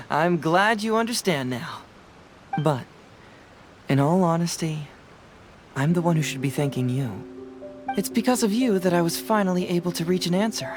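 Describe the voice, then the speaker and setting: A man's voice: high voice, measured pace, pauses often, music in the background. An actor playing a clever young male detective in a video game, playful, casual and self-assured. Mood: satisfied.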